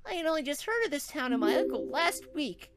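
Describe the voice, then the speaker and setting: A man's voice: extremely high pitch, very animated, a little fast, noisy background, voice pushed up, heavily acted. A man voicing a prim, dainty young woman with a melodramatic, earnest manner in a comic story reading. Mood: earnest, determined.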